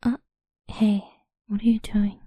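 A woman speaking in a soft, slow, coaxing whisper for a close-mic ASMR roleplay. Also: mid-range voice, little pitch movement, slightly rough, dry recording.

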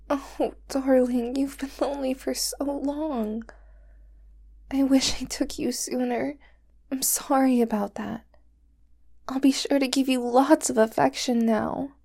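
A woman voicing a doting, possessive young girlfriend who holds her lover captive, talking softly and sweetly in a whispery voice. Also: high-pitched, gravelly, heavily acted. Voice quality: soft and whispery. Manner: sweet, clingy, coaxing. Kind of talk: roleplay audio drama to the listener.

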